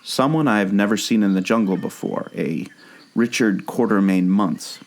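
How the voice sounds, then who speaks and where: Casting: earnest jungle-guide turned amateur detective , played by a man, slightly deep voice, storytelling delivery for radio theater. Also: normal speed, lightly acted. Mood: reading aloud. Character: earnest, obsessive amateur sleuth, male former jungle skipper. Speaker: podcast co-host. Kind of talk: scripted audio drama dialogue.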